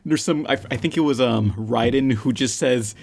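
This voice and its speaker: Gravelly man joking casually. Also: mid-range voice, normal speed, darkish tone. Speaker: podcast co-host. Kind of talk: casual chat.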